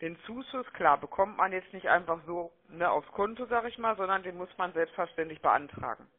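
Woman cold caller on a tinny phone line, chatty persuasive sales pitch, keeps asking for agreement. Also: animated, brisk. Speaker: telemarketing caller. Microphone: telephone call.

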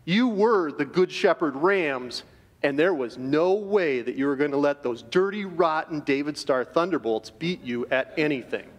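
A middle-aged man giving an earnest, measured chapel devotion to students from a podium in a school auditorium. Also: fairly high pitch, lively intonation, dull audio. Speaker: chapel speaker. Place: large school auditorium stage. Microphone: podium microphone. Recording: reverberant auditorium.

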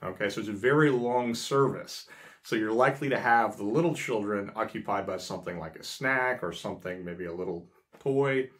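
A man's voice: fairly low pitch, moderate pace, fairly bright tone. A man in his thirties hosting a casual explainer, talking to camera in a small room with many fillers and restarts. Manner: conversational, many fillers and restarts, gestures with hands. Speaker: host. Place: small indoor room by a frosted window.

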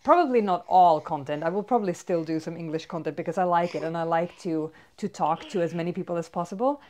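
A woman's voice: lowish voice, quick pace, warm tone. Upbeat woman with a Swedish accent. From Sweden. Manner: chatty and upbeat, many fillers. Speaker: fitness vlogger. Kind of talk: casual talk to camera. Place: bedroom with toys, indoors. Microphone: far camera.

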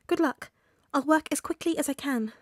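A woman voicing a small, earnest male animal character in an audiobook story, speaking formally and in measured phrases. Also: high-pitched, dry recording, clean studio sound, pitched above the performer's own voice, lightly acted. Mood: businesslike.

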